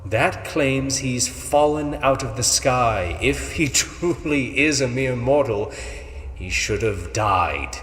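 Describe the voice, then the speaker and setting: A man playing a suspicious, scornful male dusk elf vampire in a dark fantasy audio drama, deliberate and skeptical. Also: medium pitch, expressive. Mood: distrustful, scornful. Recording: echoey hall reverb, faint noise.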